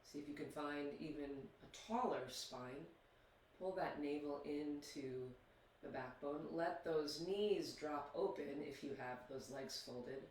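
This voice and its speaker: Echoey, distant calm yoga teacher man. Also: fairly high pitch, a little hiss or hum. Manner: slow, soothing guidance with long pauses. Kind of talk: guided yoga meditation.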